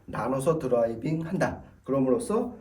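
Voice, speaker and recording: A man's voice: medium pitch, animated, moderate pace, mellow. A man speaking as an audio expert, explaining things conversationally and step by step, often repeating points for clarity. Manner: didactic, explains step by step with repetition.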